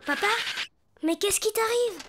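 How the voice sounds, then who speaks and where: A woman's voice: very high-pitched, animated, unhurried. A woman voicing a small, earnest boy superhero in a cartoon, plucky and proud. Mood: worried. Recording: loud music under the voice.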